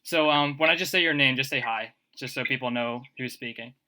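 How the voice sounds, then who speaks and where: A man's voice: mid-range voice, a little fast, sharp tone. A man hosting a casual podcast, telling stories in a rambling way full of "like" and filler words. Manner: rambling, filler-heavy storytelling with laughs. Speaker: podcast host. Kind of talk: casual group podcast chat.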